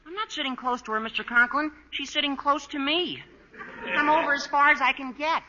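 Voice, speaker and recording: A man's voice: extremely high pitch, brisk. An actor playing a gawky, earnest, slightly whiny teenage boy in a 1940s radio sitcom. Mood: whiny, defensive. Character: gawky, reluctant teenage boy with gee-whiz innocence. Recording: noisy, echoey, dull vintage radio recording.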